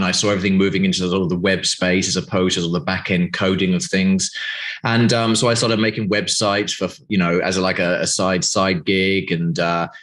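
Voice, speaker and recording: A man's voice: low-pitched, a bit bright. A British man in his forties from the UK, answering interview questions in a loose, rambling way with many fillers and restarts over a video call. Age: 40s. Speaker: game studio CEO.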